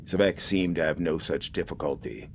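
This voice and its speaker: A male audiobook narrator with a very low voice reads a scripted story. There is a slight rumble of noise behind him. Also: moderate pace, vocal fry.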